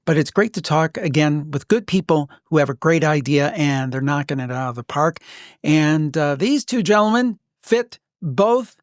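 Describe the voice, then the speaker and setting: A man's voice: a little high, lively intonation, moderate pace, dry recording, clean studio sound. A man hosting a podcast, speaking conversationally as an interviewer who shares asides of his own. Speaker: podcast host.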